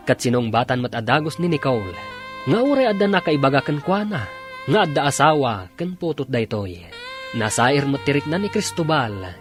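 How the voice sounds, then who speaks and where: A man with an Ilocano accent narrating a radio drama as its announcer, in a dramatic scripted style. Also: mid-range voice. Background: loud music.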